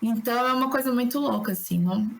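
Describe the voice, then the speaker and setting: A woman with a Brasília Brazilian accent, slightly hoarse from a cold, chatting reflectively and discursively as a singer-songwriter guest in an interview. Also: on the high side, fast. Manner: talkative, discursive, philosophical. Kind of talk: casual reflective interview chat.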